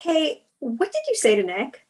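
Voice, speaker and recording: A woman plays an exasperated girlfriend in a comedy table read. Her voice is husky yet swings widely in pitch, with blunt, cutting, dismissive delivery. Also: sing-song, moderate pace, darkish tone, heavily acted. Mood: accusing, dismissive. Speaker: actor in a screenplay table read.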